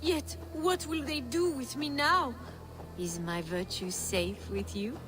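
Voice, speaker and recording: A woman's voice: sits high, slow pace, very noisy. A woman acting a playful, melodramatic noblewoman with a teasing manner in a video game cutscene. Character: theatrical, playful noblewoman, teasing and thrill-loving. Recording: music behind the voice.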